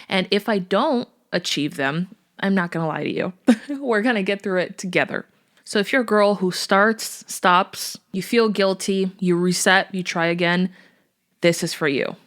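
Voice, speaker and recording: A young woman whose first language is Portuguese, hosting a faith-based podcast in an earnest, chatty way. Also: lowish voice. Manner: earnest, chatty, with fillers and small laughs. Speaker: podcast host.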